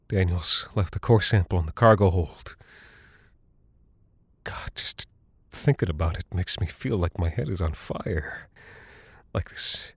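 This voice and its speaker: A man acting a guilt-ridden, delusional father and space pilot in a horror audio drama, halting and stammering as he rambles. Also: deep voice, husky, dry recording, exaggerated voice. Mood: drained, confessional.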